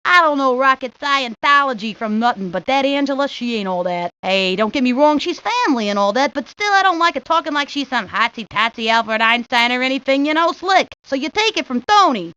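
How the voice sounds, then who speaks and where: A woman's voice: high voice, lively intonation, brisk, hardly any pauses, bright, forceful tone, smooth, dry recording. A woman voicing a cocky, streetwise neighborhood boy, talking in brash slang for a cartoon video game. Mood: brash, dismissive. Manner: brash, slangy wise-guy talk. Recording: dull lo-fi 1990s game audio.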